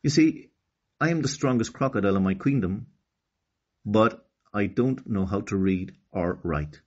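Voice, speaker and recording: Man playing an imperious crocodile queen, animated delivery. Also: lowish voice, unhurried, breathy edge, highs cut off, full character voice. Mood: bargaining. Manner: commanding, haughty. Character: imperious crocodile queen ruling an underwater world. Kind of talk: children's storybook read-aloud.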